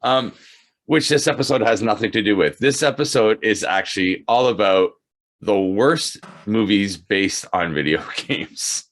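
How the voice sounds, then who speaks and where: Male podcast host, low register, casual and jokey, echoes his own phrases. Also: measured pace, a bit bright. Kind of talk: casual chat.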